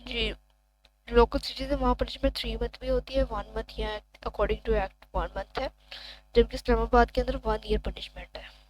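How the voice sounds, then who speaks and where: A woman's voice: fairly high pitch, steady tone, moderate pace, a little mellow, low-quality recording. A woman with a Pakistani accent giving an informal lesson as a law teacher, explaining as she reads and asking rhetorical questions. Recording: noticeable background noise with hum.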